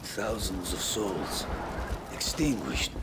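An actor playing a remorseful Russian ex-soldier narrating a video game, grave and reflective, with a Russian accent. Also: male voice, deep voice, sing-song, slow, heavy background noise, heavily acted. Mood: grave regret.

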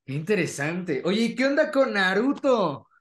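A man's voice: sits high, sing-song, normal speed. A man in his twenties with a Mexican accent, chatting casually as an interview host. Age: 20s. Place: indoor room, video call. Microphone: desk microphone.

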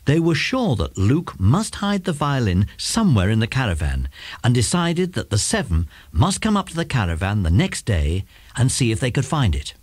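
A man's voice: mid-range voice, wildly swooping pitch, moderate pace, husky, no echo. A man narrating a children's story from a script.

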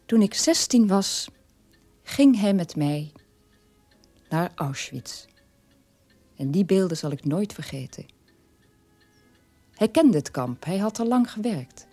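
An actress playing a sober middle-aged daughter of a war criminal, telling her past in a measured radio-play monologue with a husky voice. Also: medium pitch, very animated, warm tone, dry recording. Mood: sober, reflective.

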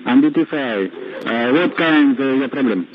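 A man's voice: mid-range voice, moderate pace. A male air traffic controller on aviation radio, hesitantly groping for English words. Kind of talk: radiotelephony instructions. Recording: dull radio transmission.

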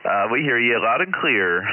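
A man's voice: medium pitch, big pitch swings, slow. An American man chatting casually as a radio operator, easygoing and conversational, heard over a radio transmission. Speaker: amateur radio operator hosting contacts. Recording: narrow tinny radio band with hiss. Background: radio hiss.

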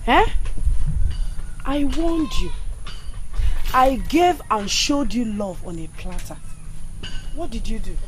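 A woman's voice: fairly high pitch, lively intonation, slow pace, pauses often, background noise. An actress playing a scorned young woman who scolds her former suitor and refuses him. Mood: reproachful. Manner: reproachful, scolding.

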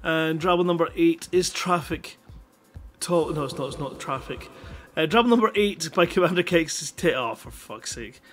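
A man in his thirties hosting a live show on a headset mic, reading short stories with a drawn-out dramatic delivery between bits of banter. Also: a little high, animated, fairly bright tone. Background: music in the background.

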